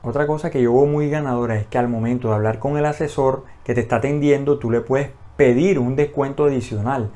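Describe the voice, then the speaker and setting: A young man talks straight to the camera in a small indoor room. He keeps it casual, leaning on "eh" fillers and quick self-corrections. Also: mid-range voice, steady tone, warm tone. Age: young adult. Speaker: vlogger. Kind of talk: casual talking-head explainer. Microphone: front camera at chest-up framing.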